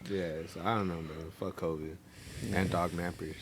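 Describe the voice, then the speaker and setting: Young male podcast co-host, low voice, slangy laid-back banter. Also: fairly even intonation, moderate pace, a crowd in the background. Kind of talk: casual chat.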